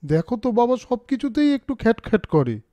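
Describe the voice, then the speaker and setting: A man voicing a kind, earnest schoolboy with expressive delivery in a children's moral cartoon. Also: very high voice, a little fast. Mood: hesitant. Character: earnest, kind schoolboy, caring toward classmates.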